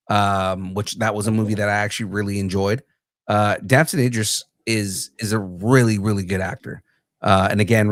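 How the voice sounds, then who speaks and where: A man with a fairly low voice co-hosting a pop culture podcast. He gives earnest opinions, pausing often with fillers and restarting his sentences. The recording is dry and close. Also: no echo. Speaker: podcast co-host. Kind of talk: casual chat.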